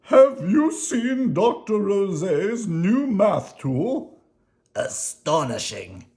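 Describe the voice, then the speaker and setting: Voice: medium pitch, sing-song, very slow, dark tone, pitched above the performer's own voice, exaggerated voice. A storybook reader voicing admiring townsfolk in emphatic, marvelling exclamations. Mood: amazed, admiring.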